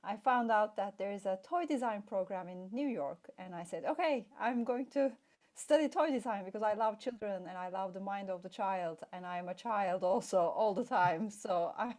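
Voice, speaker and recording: A woman's voice: mid-range voice, moderate pace, a little mellow. Turkish-born woman telling her story. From Turkey. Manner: chatty, meandering storytelling with fillers. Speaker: guest, play expert. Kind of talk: casual interview chat.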